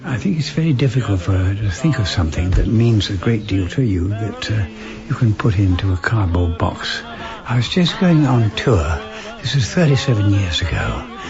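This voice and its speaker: A slightly dull recording with clear music underneath an older man. He reminisces in a lowish voice, pausing and picking his way through the memory. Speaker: actor. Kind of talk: personal reminiscence.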